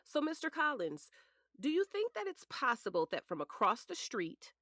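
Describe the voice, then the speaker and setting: A woman's voice: high-pitched, wildly swooping pitch, moderate pace, pushed and bright, no echo, clean studio sound, full character voice. A woman playing a polished defense attorney in a courtroom drama, formal, methodical and pointed. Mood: polite, probing. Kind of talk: audio drama acting.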